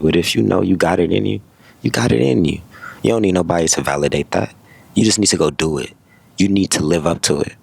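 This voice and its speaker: A man in his twenties giving a motivational vlog talk, fast and rambling, often correcting himself mid-sentence. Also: extremely low pitch, creaky, husky. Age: 20s. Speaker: vlogger.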